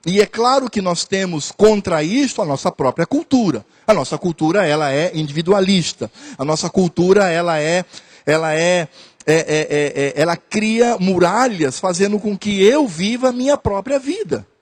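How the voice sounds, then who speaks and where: Pastor, male, high-pitched, earnest sermon delivery with repeated lines. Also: expressive. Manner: earnest preaching, repeats phrases, pauses with hesitations.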